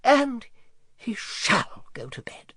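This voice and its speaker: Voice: mid-range voice, sing-song, slow, bright, forceful tone, slightly rough, dry recording, studio quality, pitched above the performer's own voice, heavily acted. A reader putting on a drowsy, murmuring dragon. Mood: bustling. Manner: drowsy, murmuring, half-whispered. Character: big sleepy good-natured male dragon.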